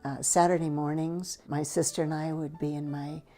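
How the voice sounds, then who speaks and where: A woman's voice: deep voice, flat delivery, moderate pace, dark tone, soft background music. An older woman recollecting as a theatre founder in a documentary interview.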